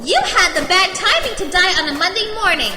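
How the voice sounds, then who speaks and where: A woman voicing a bossy, sardonic bat guide of Hell in a squeaky voice, in an audio drama. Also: very animated, bright, forceful tone, exaggerated voice. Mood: impatient, bossy. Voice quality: squeaky, batty. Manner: brisk, nagging, sardonic. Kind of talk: audio drama character acting. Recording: echoey reverberant space, noisy ambience.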